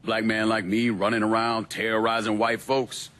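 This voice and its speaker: A man's voice: fairly low pitch, little pitch movement, normal speed, a bit bright, close to an everyday voice. A man playing a hard, vengeful young war veteran in a video game, with a blunt, street-tough delivery. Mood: grim resolve. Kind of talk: cinematic video game acting.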